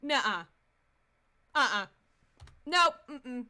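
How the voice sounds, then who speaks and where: A woman in her twenties reacting casually on a game livestream, excitable, repeating herself and swearing freely. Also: sits high, drawn-out words, clean tone. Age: 20s. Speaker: game streamer.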